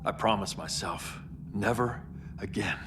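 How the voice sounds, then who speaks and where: A man's voice: fairly low pitch, measured pace, noisy background, natural voice. A man playing a grimly driven, authoritarian superhero in a video game, speaking in an intense, commanding way. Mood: grim, pleading. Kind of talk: cinematic video game acting. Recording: dialogue under loud score.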